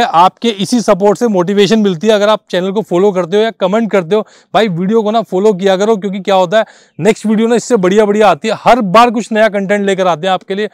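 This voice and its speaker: A man's voice: sits high, dry recording, studio quality. A man, giving an informal, conversational product walkaround as a car accessory store presenter, explaining things directly to viewers. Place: outdoors on a dirt lot beside trees.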